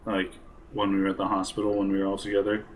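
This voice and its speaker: A man's voice: deep voice, monotone, fast talker, a little hiss or hum, poor audio. A man improvising in character as a survivor group's surgeon in casual role-play banter. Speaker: player acting as the group's surgeon.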